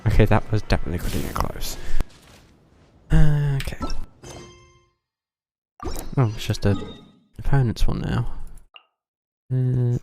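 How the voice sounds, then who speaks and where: A man's voice: mid-range voice, a little slow, pauses often, noisy background. A man giving casual gameplay commentary on a livestream, muttering hesitantly as he thinks aloud, with game music behind him. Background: video game music.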